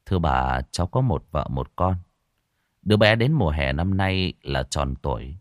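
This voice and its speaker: A polite young master and husband, as a man voices him, speaking low and respectfully in a spoken horror story. The sound is close and dry. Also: lively intonation, a little slow, dry recording.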